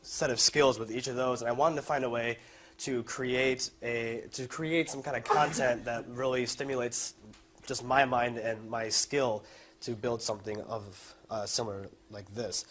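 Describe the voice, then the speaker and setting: Young American man with a medium-pitched voice. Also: steady tone, unhurried, background noise. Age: young adult. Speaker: college student presenter. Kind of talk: informal project presentation.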